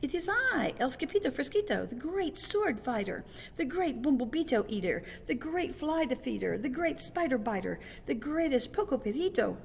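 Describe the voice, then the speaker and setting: A woman's voice: high voice, moderate pace, creaky, long reverb tail, a little hiss or hum, pitched above the performer's own voice, heavily acted. A woman voicing a naughty, boastful little kitten boy who thinks he is a chihuahua, as in a storybook. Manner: excitable, defiant, bragging.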